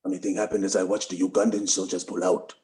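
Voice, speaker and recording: A man reading the part of a resolute, sorrowful soldier bent on revenge, in a quiet, deliberate, grave way. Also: low-pitched, little pitch movement, natural voice. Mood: grim resolve. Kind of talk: dramatic script table read.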